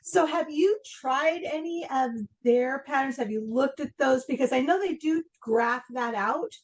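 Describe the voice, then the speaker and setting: High-voiced woman interviewer, native Spanish speaker. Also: measured pace, rough recording. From Argentina. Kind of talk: casual interview chat.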